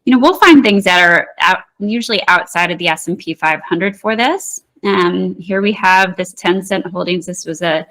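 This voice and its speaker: A woman market analyst, a guest in an interview, explaining price charts in a measured way with frequent ums and restarts. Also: lowish voice, fairly bright tone, low-quality recording.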